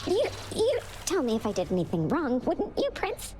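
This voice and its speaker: Timid female servant creature, high squeaky voice, wildly swooping, nervous stammer, adoring, game role. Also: huge pitch swings, moderate pace, music in the background. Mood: flustered, grateful. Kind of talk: video game dialogue.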